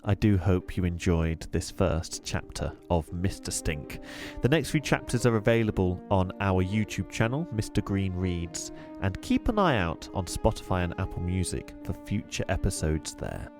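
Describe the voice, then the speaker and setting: A man narrating a children's audiobook in an expressive storytelling style. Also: low voice, moderate pace, music in the background.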